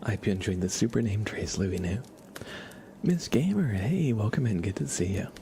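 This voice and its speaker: A man hosting an ASMR livestream in a soft, gentle, encouraging voice that often slips into whispering. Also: lowish voice, very animated, normal speed, slight background noise. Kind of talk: ASMR, soft-spoken live stream with whispering.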